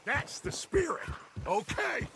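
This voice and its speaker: An actor playing a boastful, charming middle-aged outlaw gang leader in a video game, with an American accent and a theatrical manner. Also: male voice, very high voice, very animated, takes it slowly, noisy background, heavily acted. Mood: playful, rousing. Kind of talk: dramatic video game acting. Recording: music behind, horse hoofbeats.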